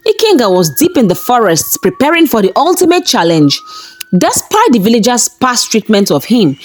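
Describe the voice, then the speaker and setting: A woman storyteller reading a scripted folktale in a measured, dramatic manner. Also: medium pitch. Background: background music.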